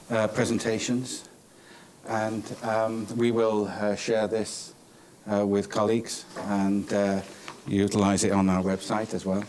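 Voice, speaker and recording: A British man from Cornwall giving semi-formal closing remarks at a conference, speaking in a halting, hesitant way. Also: on the low side, darkish tone. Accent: British English. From Cornwall, England. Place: indoor business school conference venue. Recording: clearly noisy conference room recording.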